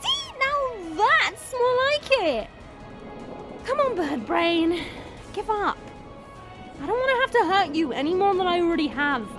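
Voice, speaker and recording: A woman playing a cocky, mocking female vampire monster in an audio roleplay drama, with a drawn-out, sarcastic delivery. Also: very high voice, sing-song, loud music behind the voice, heavily acted. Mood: taunting, smug.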